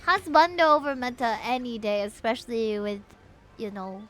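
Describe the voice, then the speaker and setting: A woman's voice: on the high side, expressive, normal speed, fairly bright tone. A woman chatting casually on a game livestream in a gushing, informal way. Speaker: game streamer. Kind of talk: casual livestream commentary and chat. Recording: music behind the voice. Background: game music.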